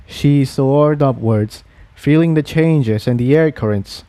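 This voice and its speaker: A man's voice: mid-range voice, slow pace, dark tone, dry recording. A man reading a fantasy adventure story aloud as its narrator. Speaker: fan fiction narrator.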